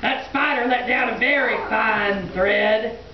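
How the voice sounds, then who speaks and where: A woman's voice: on the high side, takes it slowly, a little hiss or hum. Theatrical woman storyteller in an echoey room. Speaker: children's puppet show storyteller. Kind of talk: live story reading to children. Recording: echoey room, distant dull mic, crowd. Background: audience of children.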